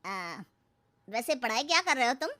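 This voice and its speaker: Cartoon rich brother-in-law, played by a woman: very high, sharp voice, condescending, mocking. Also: big pitch swings, normal speed. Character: arrogant, belittling rich young brother-in-law, a man. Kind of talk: Hindi cartoon moral-story dialogue.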